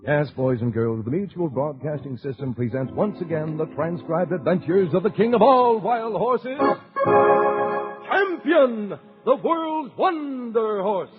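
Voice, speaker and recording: Grand, high-pitched old-time radio announcer man. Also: lively intonation, unhurried. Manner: dramatic, grand. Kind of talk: late 1940s children's adventure radio announcing. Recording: muffled old radio recording. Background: loud organ music.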